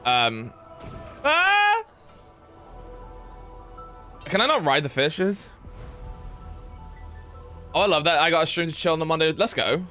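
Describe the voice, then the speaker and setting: A young man casually chatting while streaming a game, rambling with lots of fillers and excited yelps. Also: fairly high pitch, slow pace, long pauses, soft background music. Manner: rambling, filler-heavy, reads chat aloud, yelps with excitement. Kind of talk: casual live-stream gameplay chat.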